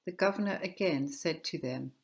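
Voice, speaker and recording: Casting: female narrator for a scripture reading, fairly low register with vocal fry. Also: moderate pace. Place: recorded at home.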